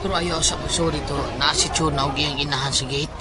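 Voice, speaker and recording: A woman playing a nervous, excitable friend in a horror audio drama, stammering frantically. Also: sits low, brisk, very noisy, close to an everyday voice. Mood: nervous warning. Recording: loud music and crowd behind.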